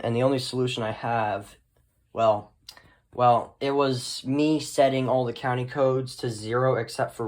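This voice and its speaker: Casual, rambling man showing off gear. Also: medium pitch. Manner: rambling, trailing off with short asides. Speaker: hobbyist video host. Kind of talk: casual unscripted show-and-tell.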